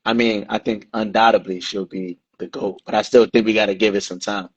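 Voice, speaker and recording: A man co-hosting a sports podcast, speaking in a measured and laid-back way. He pads his points with easy fillers as he thinks aloud. His voice comes through a slightly dull, muffled recording. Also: on the low side, steady tone, husky. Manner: measured, laid-back, uses "I mean" and "you know". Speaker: podcast co-host. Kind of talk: casual sports talk.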